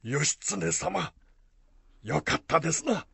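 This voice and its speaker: A man plays a loyal warrior-monk retainer in a samurai radio play. His voice is husky and gravelly, and he speaks slowly in respectful, archaic phrases. The sound is dry and close. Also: fairly high pitch, expressive, pushed and bright, dry recording, full character voice. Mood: pleased. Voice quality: gravelly, rough. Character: Benkei, loyal deferential warrior-monk retainer to a young lord. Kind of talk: Japanese radio drama acting.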